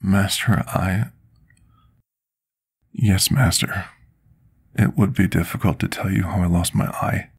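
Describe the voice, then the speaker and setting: Gentle fantasy potion master, played by a male actor: deep, gravelly, soft-spoken ASMR, politely submissive servant. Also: fairly even intonation, slow, long pauses, exaggerated voice. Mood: humble, hesitant. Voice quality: husky, gravelly. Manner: formal, polite, submissive, archaic wording. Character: gentle, timid, deferential male potion master serving a dragon.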